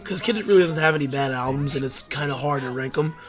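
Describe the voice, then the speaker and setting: A hoarse young man ranking albums in a rambling, casual talk, full of fillers and self-corrections. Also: a little high, quick pace, music in the background. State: hoarse from losing voice at a concert. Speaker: YouTube music reviewer.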